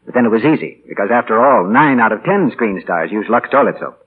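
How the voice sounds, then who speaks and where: Animated 1940s radio announcer, a man. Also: lowish voice, fairly quick, slightly rough. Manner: polished, chatty sales patter. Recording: scratchy, tinny 1940 radio broadcast.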